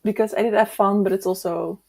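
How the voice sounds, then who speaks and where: A woman's voice: mid-range voice, fairly even intonation, a little fast, gravelly, darkish tone. A woman co-hosting a book discussion in a casual, easygoing, chatty way.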